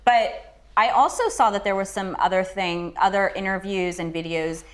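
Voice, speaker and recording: A woman's voice: medium pitch, moderate pace. A woman from Los Angeles hosting a talk show, asking questions with sympathy and encouragement. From Los Angeles, USA. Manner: sympathetic, encouraging, lots of short affirmations. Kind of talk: casual interview talk.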